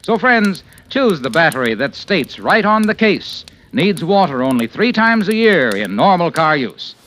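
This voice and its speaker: A male commercial announcer from old-time radio. He delivers a punchy, polished sales pitch with very lively intonation and a raised pitch, over faint noise from the vintage broadcast. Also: measured pace. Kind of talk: 1940s radio advertising pitch.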